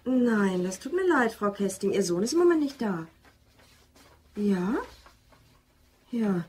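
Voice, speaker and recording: Casting: level-headed office colleague for crime audio drama, dry delivery, slightly raspy tone. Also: female voice, medium pitch, sing-song, takes it slowly, a little mellow, heavily acted. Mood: businesslike. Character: sceptical, dry female office worker and friend. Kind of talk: German crime radio drama acting.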